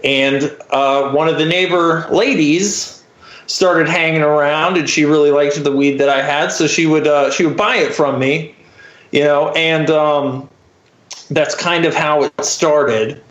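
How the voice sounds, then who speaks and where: A man's voice: fairly high pitch, fairly even intonation, measured pace, a bit bright, highs cut off. A man in his thirties telling a personal story as a podcast guest in a casual, wry, self-deprecating way. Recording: roomy, echoey podcast recording.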